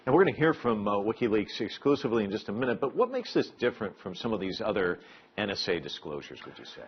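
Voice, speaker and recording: A man's voice: lowish voice, very animated, quick pace, husky, lo-fi sound. A man presenting broadcast news as an anchor, reading and putting questions in a formal on-air style.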